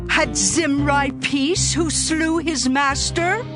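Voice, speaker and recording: An actress playing a haughty, taunting ancient queen in a scripture audio drama, in a declamatory, drawn-out way. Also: sits high, over music.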